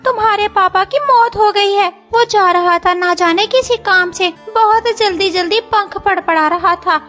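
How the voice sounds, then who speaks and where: A woman voicing an excitable male cartoon parrot who announces things loudly and urgently. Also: very high-pitched, measured pace, soft background music, pitched above the performer's own voice, full character voice. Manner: loud, urgent announcing, repeats words. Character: excitable male parrot, schoolteacher and bearer of news. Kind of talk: Hindi cartoon story dialogue.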